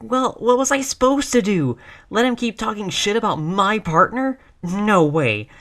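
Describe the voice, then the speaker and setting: A woman's voice: medium pitch, expressive, measured pace, lightly acted. A woman playing a tough, reckless girlfriend in a roleplay audio, brash but affectionate, with casual, sweary bravado. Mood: cocky, defiant. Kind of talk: intimate roleplay audio acting.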